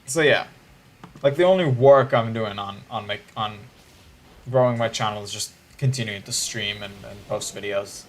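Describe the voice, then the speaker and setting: A man's voice: on the low side, moderate pace. A man, chatting casually on a livestream in a musing, hesitant way with frequent restarts. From Israel.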